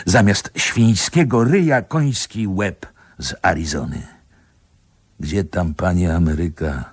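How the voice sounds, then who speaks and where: A wistful, solemn man narrating a poetic radio drama. The voice is extremely low and very husky, and it moves slowly and deliberately. It sounds close and dry. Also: no room sound, heavily acted. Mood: sardonic. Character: older man, wistful poetic narrator recalling Warsaw childhood. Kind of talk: literary radio drama monologue.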